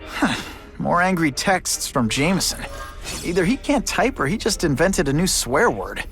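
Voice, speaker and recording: A man's voice: a little high, very animated, moderate pace, a bit bright, soft background music. An actor playing an earnest, wry young man, a superhero in a video game cutscene. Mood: wry, casual. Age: young adult.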